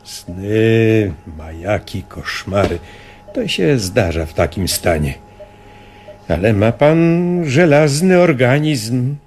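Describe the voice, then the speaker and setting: A hospital doctor in a radio play. His mid-pitched voice rises and falls widely, at an unhurried pace. He comes across as professional and reassuring. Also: expressive, a little mellow, a little hiss or hum. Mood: soothing, congratulatory. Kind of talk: radio drama acting.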